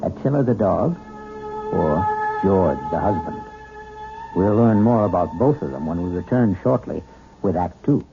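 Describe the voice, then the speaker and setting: A man's voice: low voice, lively intonation, unhurried, gravelly, long pauses, dull audio. A man hosting and narrating a radio mystery in a theatrical, portentous 1970s style. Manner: dramatic, portentous. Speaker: host and narrator. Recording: thin old radio broadcast. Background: prominent music.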